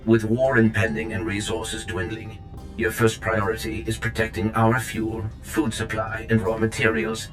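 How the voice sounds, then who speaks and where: A man's voice: fairly low pitch, level tone, moderate pace, lightly acted, rough recording. A man playing a coolly formal, loyal military droid in a sci-fi game, clipped and unemotional. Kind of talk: scripted video game dialogue. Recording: music behind the voice.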